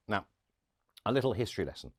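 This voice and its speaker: A low-pitched man performs a spoken monologue in a storyteller's style. He leans on pauses and acts out bits of dialogue. The recording is close and dry. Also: sing-song, slightly rough, dry recording. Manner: dramatic pauses and acted-out dialogue. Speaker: storyteller and podcast host. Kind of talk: theatrical first-person storytelling monologue.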